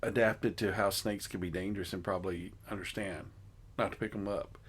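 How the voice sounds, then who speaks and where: A man's voice: sits low, steady tone, a little fast, a bit bright, a little hiss or hum. A man co-hosting a podcast in casual comedic chat, with a jokey, incredulous manner. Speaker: podcast co-host.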